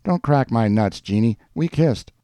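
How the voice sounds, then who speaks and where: A man voicing a gruff, lovesick, down-on-his-luck beer drinker with blunt, defensive street talk in a story reading. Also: medium pitch, expressive, unhurried, mellow, no echo, voice pushed up, natural voice. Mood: insistent pleading.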